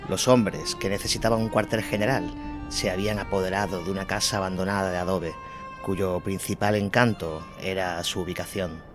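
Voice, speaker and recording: A man's voice: low voice, fairly even intonation, moderate pace. A man narrating a scripted war story in a dramatic documentary style. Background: music.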